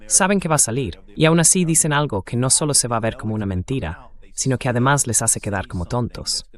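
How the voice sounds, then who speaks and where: A man's voice: medium pitch, fast. A synthetic AI man's voice reading a translated voice-over of political interview commentary in a conversational style.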